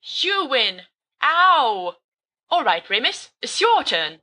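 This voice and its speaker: Woman doing a rowdy teasing teenage boy, high and loud. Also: very animated, takes it slowly, heavily acted. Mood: playful. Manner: loud, playful, raised pitch.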